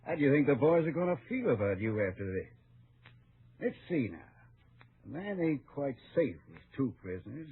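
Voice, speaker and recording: An actor playing a menacing, taunting gunman in an old American radio western, with folksy rough grammar. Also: mid-range voice, sing-song, moderate pace. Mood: gloating, menacing. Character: gloating, menacing gun-toting western villain. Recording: noisy, muffled old radio recording.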